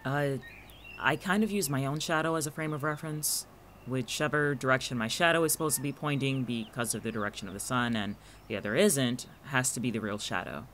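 A man's voice: mid-range voice, brisk, fairly bright tone, slight background noise, close to an everyday voice. A man playing a guarded, watchful friend in an audio drama, speaking conversationally with dry, exasperated sarcasm. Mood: wary, teasing. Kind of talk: naturalistic audio drama dialogue.

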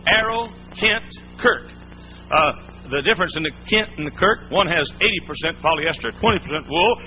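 A man preaching a sermon in a church, folksy and emphatic, repeating his points. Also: sits high. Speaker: pastor. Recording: muffled old sermon tape, faint hiss.

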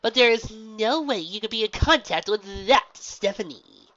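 A woman's voice: medium pitch, big pitch swings, moderate pace, a bit bright, voice pushed up. A woman voicing a wry, guarded female pony gym leader who is easily worried. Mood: wary. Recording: dull, dry lo-fi recording.

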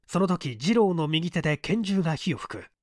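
An audiobook reader giving a measured, dramatic narration of literary fiction. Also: mid-range voice, animated, a little mellow, no echo, studio quality.

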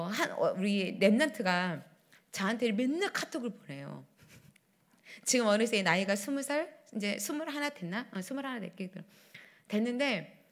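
A middle-aged woman pastor preaching a sermon at a church pulpit, swinging between loud declarations and hushed asides. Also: medium pitch. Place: church sanctuary, standing at pulpit. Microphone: pulpit microphones.

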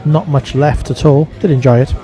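A man talks through a casual vlog review. His voice is mellow and relaxed, sitting at an ordinary middle pitch. He narrates informally, as a reviewer sharing his own opinions. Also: normal speed, soft background music. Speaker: vlogger, restaurant reviewer.

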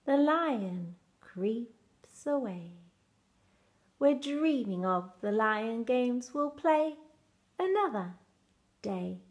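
Slow, higher-voiced woman reading a storybook. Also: animated. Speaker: author reading own picture book. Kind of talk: expressive children's storybook read-aloud.

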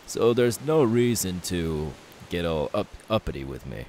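A man playing a confident, friendly young pirate captain in an ASMR audio roleplay, easygoing, unhurried and reassuring. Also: deep voice. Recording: steady background hiss.

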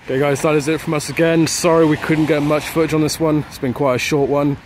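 A man's voice: a little high, level tone, quick pace, slight background noise. A young man, casually presenting an urban exploring vlog. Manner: chatty, upbeat presenter, hushed while exploring. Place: car interior, then abandoned building.

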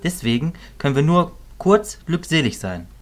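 A man playing a calm, reasoning mentor in a fantasy audio drama, measured, philosophical and reassuring. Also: a little high. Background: music.

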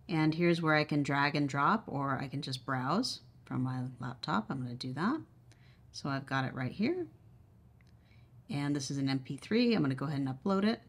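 A woman reviewing a product casually, explaining step by step in a conversational way. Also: low-pitched. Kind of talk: casual unboxing and demonstration.

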